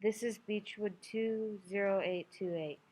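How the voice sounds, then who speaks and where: A woman's voice: medium pitch, measured pace, a little mellow, close to an everyday voice, low-quality recording. Young woman acting a formal, composed telephone answerer. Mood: grave, matter-of-fact. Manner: polite, matter-of-fact. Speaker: student actor. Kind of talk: radio play acting.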